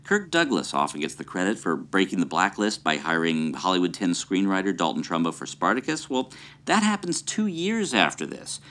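A male host narrates history in an earnest, explanatory style. His voice is low yet lively in pitch. The delivery is scripted and clear. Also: moderate pace.

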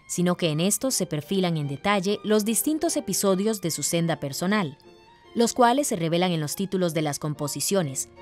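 A woman narrates a radio programme from a prepared script. Her voice sits on the low side for a woman. Music plays behind her. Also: darkish tone.

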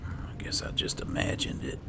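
A man quietly musing to himself in a breathy half-whisper as he comments on a video game playthrough. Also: normal speed. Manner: low-key, musing to himself. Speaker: gaming video host. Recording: clear background noise.

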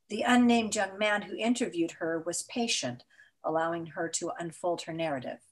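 Middle-aged actress, steady expressive memoir reading, webcam sound. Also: female voice, mid-range voice. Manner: measured, expressive storytelling. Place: indoors in front of a mottled photo backdrop. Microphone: video call webcam.

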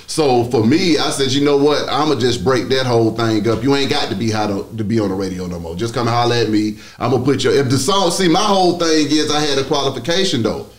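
A man's voice: mid-range voice, fairly quick, hardly any pauses. A man around his forties with a Southern US accent, telling stories as a radio DJ in a podcast interview, casual and slangy, on a close studio mic. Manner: casual, slangy, frequent swearing. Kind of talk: animated podcast storytelling.

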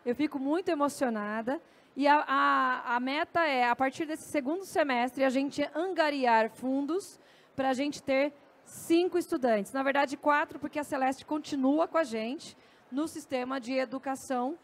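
A woman's voice: sits high, measured pace. A woman with a Brazilian accent giving a conversational, anecdote-filled talk on a festival stage into a handheld microphone. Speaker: journalist and speaker.

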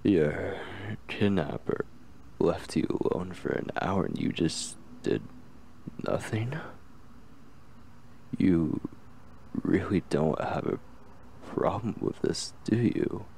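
Very deep, gravelly, tender kidnapper played by a man. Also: lively intonation, a little hiss or hum, heavily acted. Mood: puzzled, concerned. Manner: hesitant, halting, gently reassuring. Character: obsessive young male kidnapper, tender, anxious and protective. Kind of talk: intimate ASMR roleplay.

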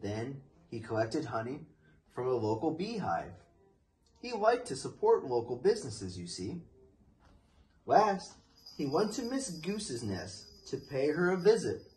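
A young man reading a children's picture book aloud in an expressive, deliberate storytelling manner. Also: medium pitch, a little hiss or hum, poor audio. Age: young adult. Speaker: storybook reader. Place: living room, indoors.

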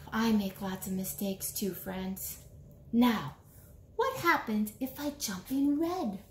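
A woman in her forties doing an animated storytime read-aloud for young children, expressive and sing-song, with drawn-out words. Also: medium pitch, a little hiss or hum. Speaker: children's author reading a picture book aloud. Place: small indoor room, seated before a bulletin board. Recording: roomy small-room echo.

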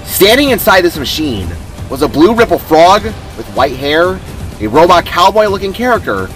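A man's voice: sits high, big pitch swings, normal speed, a bit bright, faint music behind, low-quality recording. A man reading dramatic scripted story narration as its author.

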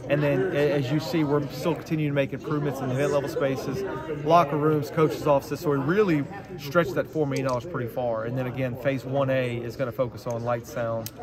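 A man in his forties answering reporters' questions in a measured, businesslike way, recorded close up in a large ballroom. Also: mid-range voice, level tone. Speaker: athletic director. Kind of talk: press scrum answers. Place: large carpeted hotel ballroom, indoors. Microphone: handheld phone camera up close. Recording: crowd chatter around.

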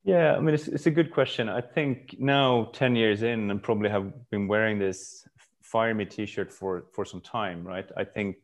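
Low-voiced man, reflective podcast guest. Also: normal speed. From Stockholm, Sweden. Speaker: podcast guest, brand executive. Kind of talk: casual interview talk.

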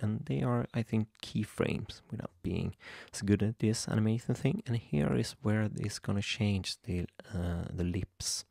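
Low gravelly Swedish-accented man. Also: darkish tone, no echo, clean studio sound. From Sweden. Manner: hesitant, many ums and restarts, nervous. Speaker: software tutorial maker. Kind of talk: screen-recorded software tutorial. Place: home room at a computer.

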